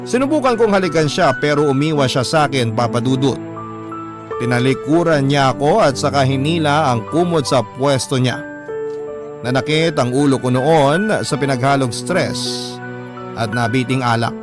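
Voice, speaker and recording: Casting: man to play remorseful Filipino young husband narrator, medium pitch, confiding, clear music bed underneath. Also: animated, moderate pace, natural voice. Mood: regretful, confiding. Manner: confiding, regretful. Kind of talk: scripted first-person radio drama narration. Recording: prominent music bed behind voice.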